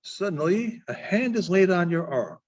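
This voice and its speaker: A man narrates short stories as a librarian at a reading. His voice sits high and moves through a wide, lively range. The recording is slightly dull and lo-fi. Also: normal speed. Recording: dull, muffled lo-fi sound.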